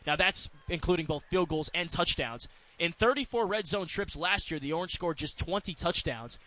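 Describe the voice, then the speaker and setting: A man calling live American football on radio as play-by-play announcer, in quick clipped phrases that can build in excitement. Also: on the high side.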